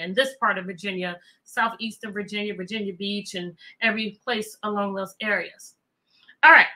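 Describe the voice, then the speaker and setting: Middle-aged American woman, high pitch, bright forceful voice, animated children's teacher, chatty livestream style. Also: rough recording. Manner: animated, repeats phrases and questions to engage listeners. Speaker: teacher, children's read-aloud host. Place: indoor room with bookshelves.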